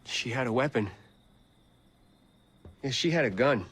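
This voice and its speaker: An actor playing a panicky, defensive young soldier who blames everyone else in a game cutscene. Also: male voice, mid-range voice, animated, unhurried, slightly rough. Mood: nervous. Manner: defensive, whining, breaks into shouts. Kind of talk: video game cutscene acting.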